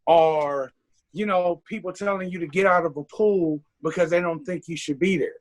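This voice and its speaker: A man's voice: on the high side, normal speed. A man who is a guest on a casual podcast, talking emphatically and asking exasperated rhetorical questions. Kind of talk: casual chat.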